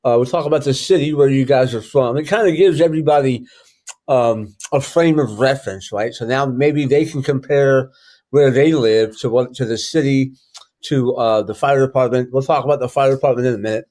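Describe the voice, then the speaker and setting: A man's voice: medium pitch, brisk, warm tone. Chatty male podcast host. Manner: chatty, loose, thinks aloud with many ums. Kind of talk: casual podcast interview.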